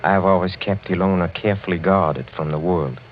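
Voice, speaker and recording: An actor playing a suave, sinister older European count in 1940s radio style, courtly and insinuating, halting and chuckling softly. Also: male voice, deep voice, a little hiss or hum, close to an everyday voice. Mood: guarded, measured. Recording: muffled old 1940s radio recording, faint music.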